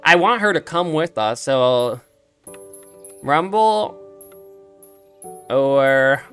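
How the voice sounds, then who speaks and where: A man's voice: medium pitch, expressive, very slow, fairly bright tone, clean tone, soft background music. A man giving casual, chatty game commentary, reacting and musing aloud as he plays. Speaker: let's play streamer.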